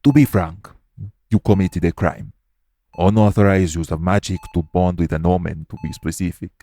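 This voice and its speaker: A man playing a calm, formal official of a secret organization in an audio roleplay, speaking in a measured, matter-of-fact way to the listener. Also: sits low, no room sound. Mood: grave, matter-of-fact.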